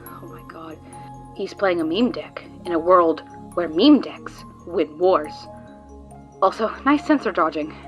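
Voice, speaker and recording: A woman's voice: fairly low pitch, expressive, a little slow, faint music behind. A woman playing a tense, sarcastic card player trying to save her friend in an audio drama, with a biting, dramatic delivery. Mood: deflated.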